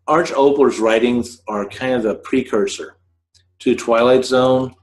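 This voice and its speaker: A man hosting a scriptwriting podcast, explaining in a modest, deliberate way. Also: lowish voice, low-quality recording. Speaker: podcast host teaching scriptwriting. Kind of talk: casual explanatory talk.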